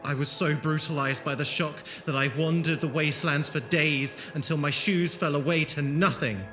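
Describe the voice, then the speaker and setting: Guy doing a haunted old-squad leader in an audio drama, crisp forceful voice, dramatic, bit of music under him. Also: on the high side, fairly even intonation, moderate pace, natural voice. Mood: anguished, grieving. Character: haunted, grieving male former soldier, brisk leader of travellers.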